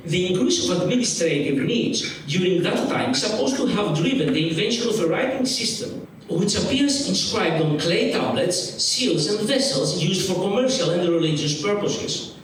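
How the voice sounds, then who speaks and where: A man's voice: high voice, animated, normal speed, a little hiss or hum. A man from Greece with a Greek accent, reading an academic conference paper in a formal way that has frequent restarts and repeated words. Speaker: lecturer. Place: large museum auditorium. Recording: distant, echoey auditorium sound.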